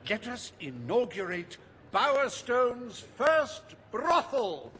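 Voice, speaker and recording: An actor voicing a foppish, greedy, scheming industrialist in a video game, pompous and drawling, with oily contempt for the poor. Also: male voice, extremely high pitch, sing-song, slow pace, pushed and bright, in a large hall, slight background noise, heavily acted. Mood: smug, persuasive. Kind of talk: theatrical video game dialogue.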